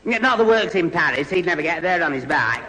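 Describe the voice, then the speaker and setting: A man's voice: a little high, lively intonation, fast, in a large hall, very noisy, lightly acted. An actor playing a flustered, gormless, eager young man with a Northern English accent, stammering and muddling his words in a 1970s radio comedy. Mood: nervous, muddled.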